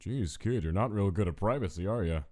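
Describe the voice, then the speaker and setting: A man's voice: lowish voice, expressive, brisk, darkish tone. A man voicing a laid-back, joking male skeleton who teases in a drawling, casual way. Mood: teasing. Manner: drawling, teasing casual speech. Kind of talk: fan comic dub.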